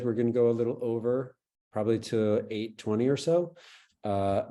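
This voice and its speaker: A man's voice: medium pitch, warm tone. A man hosting an online event, introducing the speakers in an informal, loose way over a video call. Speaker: event host.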